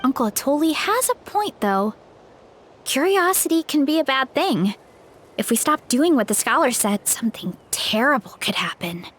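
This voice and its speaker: A cheerful young tribeswoman from a video game, breezy and quick to laugh. Her voice sits high and moves lively up and down, with faint game noise behind. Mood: easygoing. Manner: upbeat, breezy, laughs easily. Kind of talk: game voice acting.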